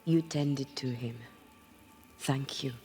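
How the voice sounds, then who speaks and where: A man playing a steady, courteous ghost hunter in a video game, speaking in a measured, earnest way. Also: mid-range voice, faint music behind, close to an everyday voice. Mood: concerned. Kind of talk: video game cutscene acting.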